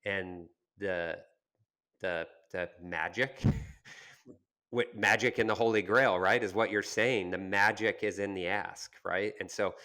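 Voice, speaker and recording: Deep-voiced man co-hosting a business podcast. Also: steady tone, slow, sharp tone. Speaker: podcast co-host discussing marketing. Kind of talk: conversational business podcast discussion.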